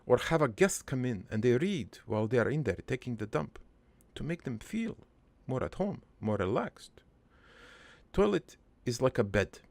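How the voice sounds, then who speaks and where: Man performing a middle-aged immigrant cartoon father, heavy vocal fry, halting deadpan rambler, crude and wistful, very dry close sound. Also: mid-range voice, dry recording. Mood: earnest, crude. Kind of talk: improvised character monologue for a cartoon.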